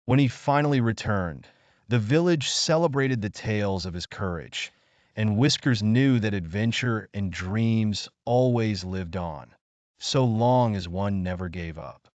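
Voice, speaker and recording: A male storyteller reads a children's bedtime tale with expressive, deliberate phrasing. His pitch swings widely while the pace stays very slow. The sound is dry, with hardly any room on it. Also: no echo, dull audio. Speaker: storyteller narrating children's animal tales. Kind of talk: scripted bedtime story narration.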